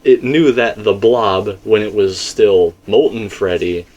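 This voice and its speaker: A man's voice: lowish voice, measured pace, a little mellow, muffled recording. A man hosting a casual, speculative discussion, talking in a rambling, stop-and-start way and often correcting himself. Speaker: host of a game theory discussion.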